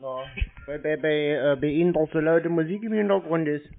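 A man's voice: fairly high pitch, fairly even intonation, moderate pace, creaky. A man chatting casually on the phone as a naive, rambling, colloquial customer. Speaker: prankster playing a gullible customer to phone scammers. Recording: narrow, tinny phone-call sound.

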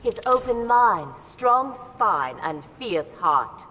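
An actress playing a proud, devoted warrior woman in a video game, speaking firmly and in a measured way. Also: fairly high pitch, big pitch swings, pushed and bright, heavily acted. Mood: firm devotion. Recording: distant, long reverb, faint noise.

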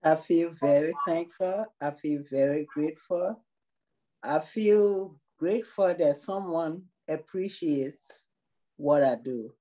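A middle-aged woman with a Liberian accent talks slowly and earnestly over a video call. Her voice is dark and mellow. She takes her time over each thought, like someone sitting in a small room and telling her story. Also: sits low, poor audio. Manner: slow, earnest, measured. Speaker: nursing assistant in long-term care.

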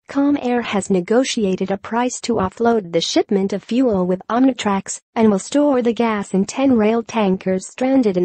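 A woman's voice: medium pitch, warm tone, no echo. A woman reading a scripted news article in an impersonal, neutral way, with a synthetic text-to-speech sound.

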